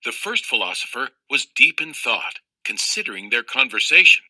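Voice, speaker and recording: Bright, expressive male story reader. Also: medium pitch, normal speed, no room sound, low-quality recording. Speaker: audiobook reader of a children's novel. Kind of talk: expressive read-aloud storytelling.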